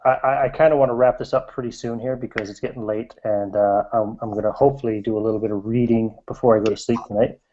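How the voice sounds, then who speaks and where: A man's voice: on the low side, steady tone, quick pace, hardly any pauses, mellow, slight background noise. A man hosting a video hangout, easygoing as he steers the talk to a close. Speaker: hangout host. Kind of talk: casual chat on a video hangout. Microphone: video call microphone. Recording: dull call audio.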